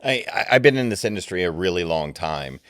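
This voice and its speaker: A man's voice: low voice, lively intonation, a little fast, fairly bright tone, no echo, clean studio sound. A man co-hosting a podcast, talking sincerely and supportively, often repeating a word as he starts a thought. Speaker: podcast co-host. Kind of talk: casual podcast chat.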